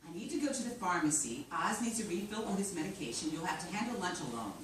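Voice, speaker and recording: An actress reading the part of a practical mother who runs a diner, at a script reading, with a brisk, motherly manner. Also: on the low side, sing-song. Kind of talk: script table read. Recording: echoey room, far mic, noise.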